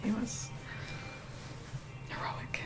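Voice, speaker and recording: A woman playing an aloof, vain young vampire in a soft whispered ASMR roleplay, unhurried, dry and mildly condescending. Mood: dry, exasperated. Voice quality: soft, breathy whispering mixed with low murmur. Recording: music playing behind close whispered voice.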